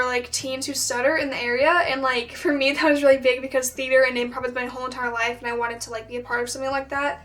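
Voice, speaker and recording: A teenage girl with a high, fairly level voice chats casually to camera, peppering her sentences with fillers. She has a mild stutter. Her voice sits a little back from the microphone. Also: fairly bright tone, far from the mic. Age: late teens. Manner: chatty, with frequent "like" fillers. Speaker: vlogger.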